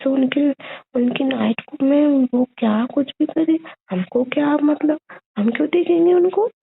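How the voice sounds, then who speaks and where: A young woman chatting casually and intimately with her boyfriend on a phone call, in a childlike, cooing way with drawn-out phrases. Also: high-pitched, creaky. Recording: muffled, band-limited phone call recording.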